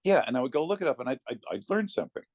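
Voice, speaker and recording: A man talking conversationally as an interview guest, telling anecdotes in a reflective way with thoughtful pauses. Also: medium pitch, big pitch swings, a little mellow, no echo, studio quality. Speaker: interview guest, narrator of classic readings.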